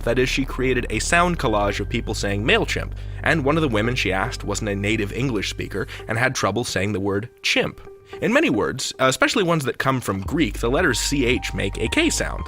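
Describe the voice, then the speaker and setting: A male podcast host with a forceful, bright voice and very animated intonation. He presents in a playful, conversational way, tossing out rhetorical asides. Soft music sits underneath. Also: mid-range voice. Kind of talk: chatty, comic podcast presenting.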